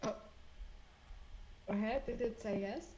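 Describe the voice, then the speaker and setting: Loud stammering woman in an echoey room. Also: fairly high pitch, slow pace, dull audio. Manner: stammering, restarting sentences. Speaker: fellow roleplay player on voice chat. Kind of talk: casual roleplay chat.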